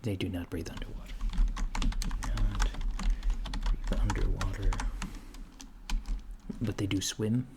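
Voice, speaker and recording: A man doing a soft-spoken ASMR roleplay as a curious, puzzled alien interviewer, speaking in a deliberate, halting, deadpan way. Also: sits low. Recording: clear background noise, keyboard typing. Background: computer keyboard typing.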